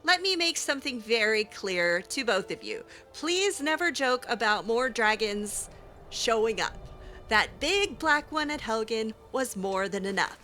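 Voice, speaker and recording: A woman's voice: fairly high pitch, pushed and bright. A woman giving in-character commentary as she plays a video game, with wry, exasperated asides. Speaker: gaming video host playing in character.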